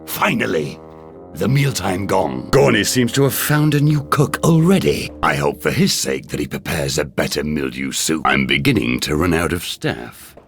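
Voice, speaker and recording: A callous, gluttonous tyrant from an adventure game. His voice is extremely low and full of gravel, rolling pompously up and down as he savors each phrase. Faint music sits behind him. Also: sing-song, normal speed, a bit bright, heavily acted. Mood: pompous, impatient. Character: pompous, gluttonous, callous villainous ruler relishing his food. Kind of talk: video game villain dialogue.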